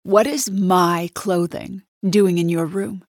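A woman voicing a wealthy, haughty woman who sneers and accuses loudly. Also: fairly low pitch, fairly even intonation, takes it slowly, dry recording, clean studio sound. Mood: accusing. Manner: sneering, loud and triumphant.

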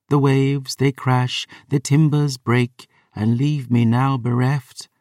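A man playing a doomed, lovelorn sailor, giving out a mournful sea ballad in a plaintive, drawn-out lilt. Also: medium pitch, darkish tone, dry recording, clean studio sound, recorded from a distance, voice pushed up, natural voice.

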